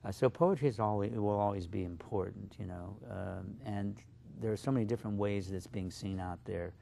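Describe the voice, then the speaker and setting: A man whose first language is Slovak, a poet guest giving thoughtful, halting interview answers with many fillers. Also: on the low side, creaky, warm tone, slight background noise.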